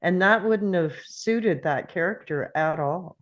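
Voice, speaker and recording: A woman's voice: on the low side, measured pace, highs cut off. A woman talking casually in a group discussion about a film. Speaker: table read participant.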